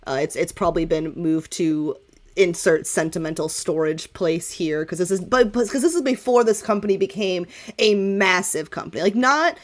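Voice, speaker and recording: A woman's voice: fairly low pitch, normal speed. A woman game master improvising narration for a tabletop game, talking informally and often doubling back to correct herself. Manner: informal, rambling, self-correcting.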